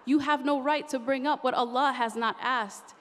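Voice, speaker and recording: A woman's voice: sits high, fairly even intonation, moderate pace, fairly bright tone. A young woman performing spoken word poetry at a podium microphone on a large convention stage, with a rhythmic, impassioned, rhyming delivery. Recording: reverberant convention hall.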